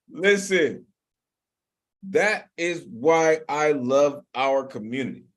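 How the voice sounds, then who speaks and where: Animated man hosting a poetry open mic. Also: on the high side, drawn-out words. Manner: animated, shouts and laughs between earnest praise. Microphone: video call.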